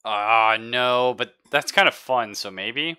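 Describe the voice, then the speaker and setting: A man narrating a tabletop game casually as game master, often pausing to search for his words. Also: medium pitch, sharp tone, smooth.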